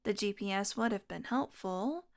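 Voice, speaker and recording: A woman's voice: mid-range voice, normal speed, natural voice. A woman playing a wounded, exhausted young vampire in an intimate audio roleplay, rambling wearily with sardonic, pained asides. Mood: drained, wry. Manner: weary, rambling, sardonic, with sighs and breathy asides. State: exhausted, in pain. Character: wounded, exhausted young female vampire, wry and foul-mouthed.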